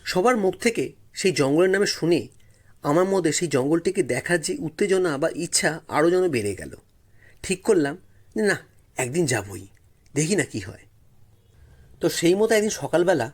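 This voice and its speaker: A man narrating a first-person story in a dramatic, suspenseful way with expressive, drawn-out pauses. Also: a little high, slightly rough.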